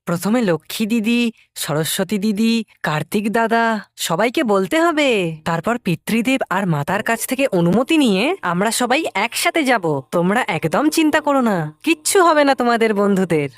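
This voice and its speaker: A woman voicing a confident, reassuring young boy god in a mythological cartoon, speaking deliberately. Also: on the high side, animated, no echo, lightly acted. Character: reassuring young boy god, obedient yet boldly commanding.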